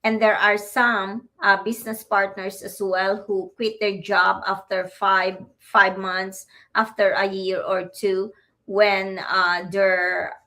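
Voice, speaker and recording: A woman's voice: mid-range voice, little pitch movement, takes it slowly, sharp tone. A woman in her fifties with a Filipino accent, co-hosting a casual livestream and talking straight to her viewers in a friendly way. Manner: friendly, speaks straight to viewers, laughs easily. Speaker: livestream co-host.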